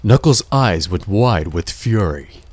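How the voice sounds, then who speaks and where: A man narrating a scripted adventure story in a measured, dramatic storybook manner. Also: low-pitched, animated, a little mellow, slightly rough, no room sound.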